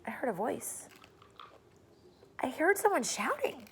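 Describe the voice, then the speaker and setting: Woman doing a quiet, hesitant young boy. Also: sits high, wildly swooping pitch, slow, slightly rough, voice pushed up, heavily acted. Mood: hushed. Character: thoughtful young boy, hushed.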